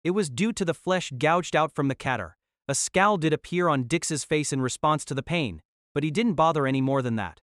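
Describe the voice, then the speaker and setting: High-pitched male narrator, dry sound. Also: animated, a little fast, a bit bright, no echo. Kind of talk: scripted dramatic narration.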